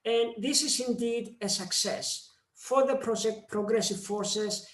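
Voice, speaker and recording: A man with a Greek accent, a member of parliament, giving a formal seminar speech in a slow, measured way with pauses. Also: sits high, fairly bright tone, reverberant.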